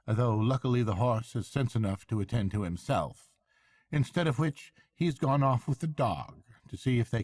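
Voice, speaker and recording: Man putting on a hearty barge woman, raised voice, lively sing-song, dry close sound. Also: mid-range voice, dry recording, pitched above the performer's own voice. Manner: hearty, chatty, falsely respectful. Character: hearty, shrewd stout barge woman, middle-aged. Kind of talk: storybook character voice.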